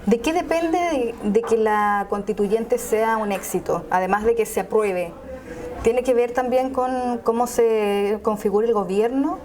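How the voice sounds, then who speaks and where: A woman's voice: fairly high pitch, a little slow, a little mellow. A woman working as a journalist interviewer, asking probing questions. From Chile. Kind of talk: interview conversation. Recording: crowd murmur behind. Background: crowd noise.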